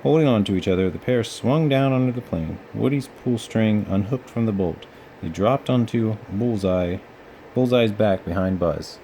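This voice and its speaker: A man's voice: on the low side, moderate pace, dark tone, a little hiss or hum. A man narrating a children's storybook as a read-aloud storyteller.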